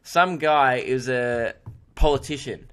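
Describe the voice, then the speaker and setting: A man's voice: mid-range voice, slow, noisy background. A man, a comedian hosting his podcast in casual chat, joking, rambling and swearing freely. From Australia. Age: 20s.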